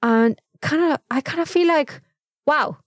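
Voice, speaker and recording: A woman chatting casually as a podcast co-host, animated and free with swearing. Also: high-pitched, a little slow, no room sound, clean studio sound. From Mexico. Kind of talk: casual chat.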